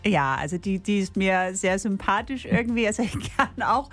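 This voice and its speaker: Danish-accented woman, lively and laughing. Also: medium pitch, normal speed, soft background music. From Denmark. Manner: lively, laughs often, hesitant fillers. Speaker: guest musician and actress. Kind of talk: radio interview chat.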